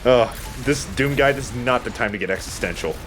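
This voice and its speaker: A man's voice: medium pitch, brisk, over music. A man giving casual, chatty, self-deprecating commentary while he plays a video game as host. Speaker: let's play host playing the game.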